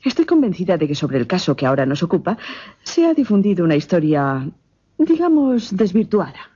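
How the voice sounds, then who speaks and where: An actress playing a confident, witty and curious newspaper reporter in a radio drama, articulate and assured. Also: female voice, lowish voice, very animated, brisk, mellow, breathy edge. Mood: wry, assured. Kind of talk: Spanish-language radio drama acting. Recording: muffled, lo-fi radio drama recording.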